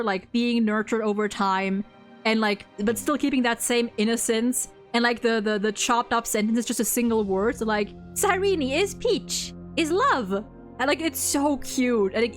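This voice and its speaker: Girl gushing about game stuff, kinda high voice, acts out quotes, music behind her. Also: normal speed. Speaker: co-host ranking game story quests. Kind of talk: casual gaming chat.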